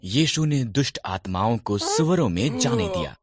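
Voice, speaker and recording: A man narrating scripted Bible stories in a measured, expressive storytelling manner. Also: mid-range voice.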